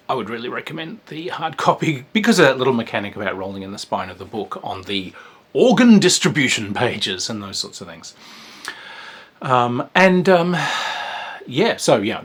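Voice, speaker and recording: Husky man rambling through a review. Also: on the low side, a bit bright. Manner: rambling and hesitant, with frequent fillers and dry asides. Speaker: tabletop game reviewer. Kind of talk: casual spoken game review.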